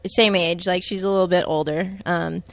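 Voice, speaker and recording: A woman's voice: on the low side, steady tone, moderate pace, vocal fry, a bit bright. A woman in her twenties chattily recounting how she met her partner, in a casual conversation. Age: 20s. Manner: chatty storyteller, many fillers. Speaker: guest.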